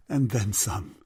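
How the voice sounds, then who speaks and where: A husky, gentle elderly father. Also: fairly low pitch, heavily acted. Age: older. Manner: hesitant, tender. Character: gentle, proud, frail elderly father, loving and evasive. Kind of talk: dramatic audio drama acting.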